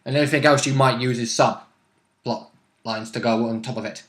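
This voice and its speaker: A man's voice: medium pitch, steady tone, fairly quick, a bit bright. A man chatting casually as a player in a tabletop role-playing game. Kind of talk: casual chat. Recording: echoey, roomy sound.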